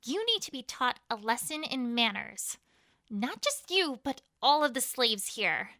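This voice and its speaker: A woman voicing a cruel, commanding pony queen with a quick temper in a fan fiction audio drama. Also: fairly high pitch, very animated, bright, forceful tone, dry recording, clean studio sound, exaggerated voice. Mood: furious. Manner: commanding, scornful.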